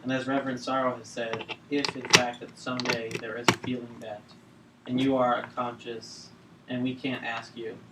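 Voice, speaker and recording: A man acting a careful, halting judge questioning a sick patient in a drama table read. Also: mid-range voice, level tone, natural voice. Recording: echoey room, distant mic, noisy background.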